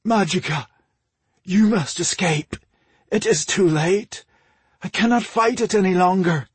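A man voicing a tormented king enslaved by dark magic, trembling and strained, in a fantasy audiobook. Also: high voice, unhurried, slightly rough, no room sound, pitched above the performer's own voice, exaggerated voice. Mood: agonized, desperate.

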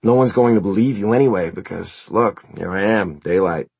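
Man voicing earnest, defensive young guy, gravelly. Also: fairly low pitch, moderate pace, a little mellow, no room sound. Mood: insistent.

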